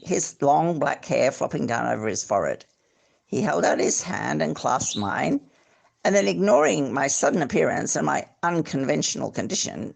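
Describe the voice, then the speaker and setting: Creaky, expressive amateur audiobook reader. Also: mid-range voice. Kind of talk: expressive literary narration. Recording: faint background noise, clicks.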